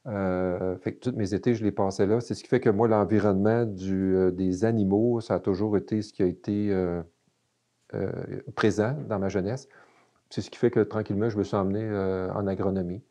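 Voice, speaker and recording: A man's voice: on the low side, fairly even intonation, fairly quick, warm tone. A man in his late fifties with a Québécois French accent, a guest in a casual interview, talking with many fillers and restarts. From Quebec.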